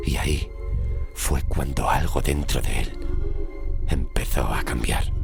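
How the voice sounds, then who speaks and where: A man from narrates a scripted horror story with suspense, in short weighted phrases that often sink into a breathy hush. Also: very deep voice, fairly even intonation, slow. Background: music.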